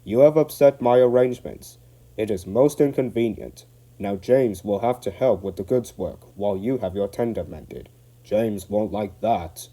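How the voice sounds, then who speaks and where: Man doing a pompous railway boss, formal, clipped, stern, mellow. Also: on the low side, lively intonation, measured pace.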